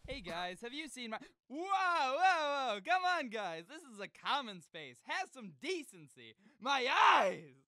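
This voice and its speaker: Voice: mid-range voice, big pitch swings, unhurried, hardly any pauses, pushed and bright. An actor playing a goofy, chatty astronaut crewmate who rambles in casual slang in a comic audio drama. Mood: teasing.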